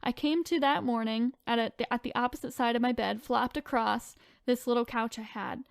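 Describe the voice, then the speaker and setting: A woman's voice: a little high, steady tone, normal speed, vocal fry, no echo, clean studio sound. A woman co-hosting a podcast, reading online stories aloud and chatting in an informal, conversational way. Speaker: podcast co-host.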